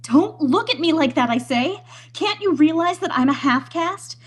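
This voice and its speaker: A woman's voice: sits high, lively intonation, normal speed. A woman playing an anguished young island daughter torn by love, pleading breathlessly in an old-time radio melodrama. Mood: bitter anguish.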